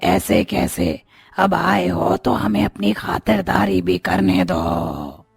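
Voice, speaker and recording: Raspy, creaky, slow-talking witch. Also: fairly low pitch, huge pitch swings, dry recording, soft background music, heavily acted. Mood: taunting menace. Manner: slow, taunting delivery. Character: menacing female witch of a haunted mansion. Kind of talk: cartoon horror story acting.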